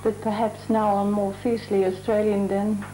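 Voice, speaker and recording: A woman from the Netherlands, a mural artist, talking thoughtfully in a documentary interview and pausing to find her words. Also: medium pitch, flat delivery, mellow, a little hiss or hum, poor audio. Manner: chatty.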